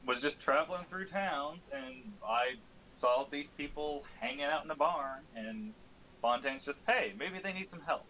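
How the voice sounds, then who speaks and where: A man's voice: a little high, measured pace, rough recording. A man in a casual, informal chat, recapping a tabletop game as a player and swearing lightly. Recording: steady audible background noise.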